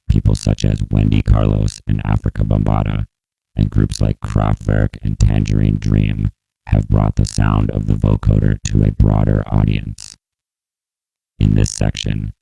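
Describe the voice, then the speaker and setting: A narrator explaining an electronic music piece in slow, exaggerated, very proper enunciation, processed through a vocoder with shifting synthesizer tones. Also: creaky, dark tone, clear, resonant tone, rough recording. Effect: vocoder, randomly shifting synthesizer pitch and chords. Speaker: composer narrating own piece.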